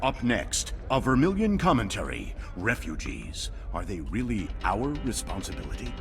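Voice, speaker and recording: A man's voice: lowish voice, sing-song, moderate pace, fairly bright tone, soft background music. An actor playing a smug male television news anchor. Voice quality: slick, glib newsreader manner. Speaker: game character.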